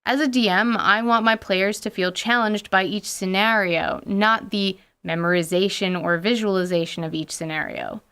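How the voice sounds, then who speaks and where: A woman's voice: mid-range voice, normal speed, hardly any pauses, fairly bright tone, clear, resonant tone. A woman hosting a scripted, playful commentary on tabletop gaming as a game master, with theatrical emphasis and mock-dramatic asides. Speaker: podcast host and game master.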